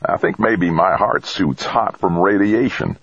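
A man's voice: low-pitched, measured pace, fairly bright tone, slightly rough, no echo, highs cut off, voice pushed up, full character voice. A man playing a bleak, blunt, folksy male engineer in an audiobook.

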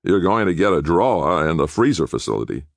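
A man's voice: low-pitched, sing-song, fairly quick, creaky, no room sound, clean studio sound, voice pushed up, exaggerated voice. A man voicing a youngish public defender in an audiobook, cold, impatient and disapproving. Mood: dismissive. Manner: curt, disapproving, accusatory.

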